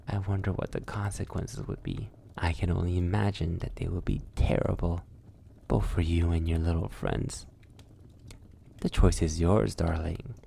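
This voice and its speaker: A man playing a seductive, sadistic vampire lord in an intimate ASMR roleplay, mockingly courteous, taunting in drawn-out phrases that sink toward a whisper. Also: deep voice, mellow, a little hiss or hum, full character voice. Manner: breathy near-whispers, drawn-out taunting, archly polite.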